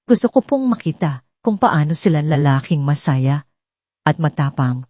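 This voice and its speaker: A gentle young housemaid from an audio storybook drama, voiced by a woman narrator, polite and earnest. The voice is mellow and fairly low, with firm principles behind it. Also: big pitch swings, normal speed, no room sound. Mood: gentle, reassuring.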